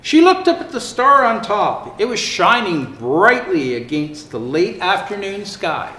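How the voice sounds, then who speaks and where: A man reading a children's picture book aloud in an expressive, theatrical way with drawn-out emphasis. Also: high-pitched. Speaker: storyteller dressed as Santa Claus. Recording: roomy echo, faint background noise.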